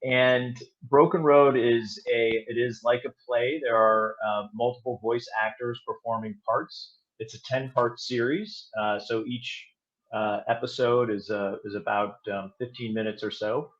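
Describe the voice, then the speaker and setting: A man speaking as an interview guest in a conversational, somewhat halting way. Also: mid-range voice, fairly even intonation, fairly bright tone. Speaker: guest, audio drama producer. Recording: slightly dull, band-limited sound.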